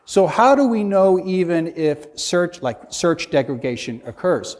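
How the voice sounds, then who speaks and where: A man's voice: on the high side, big pitch swings, measured pace, a little mellow. A man speaking as a conference panelist, earnestly building his case with rhetorical questions and frequent restarts. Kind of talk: panel discussion. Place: conference hall. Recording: reverberant conference hall.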